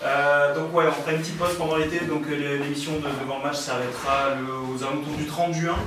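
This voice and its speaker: A man hosting a radio show in a casual, halting way, often repeating phrases as he goes. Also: fairly high pitch, fairly even intonation, over a noisy crowd. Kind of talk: casual presenting. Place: radio studio. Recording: roomy, distant mic, noisy, clicks.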